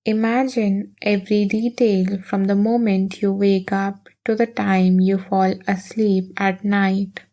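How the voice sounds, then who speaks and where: A woman leading a guided meditation in a soothing, gentle, unhurried manner with long pauses. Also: mid-range voice, flat delivery.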